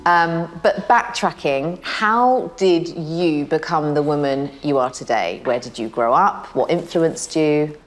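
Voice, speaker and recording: A woman's voice: low voice, a little slow, hardly any pauses. A woman television presenter interviewing a guest, asking questions in an encouraging, upbeat way. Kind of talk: interview host. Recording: light music bed behind.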